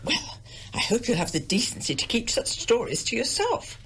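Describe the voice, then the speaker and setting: A woman's voice: on the high side, huge pitch swings, moderate pace, fairly bright tone, a little hiss or hum, full character voice. An actress playing a domineering, self-pitying older English village mother in a radio drama, haughty and querulous. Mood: indignant. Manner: querulous, haughty, melodramatically aggrieved.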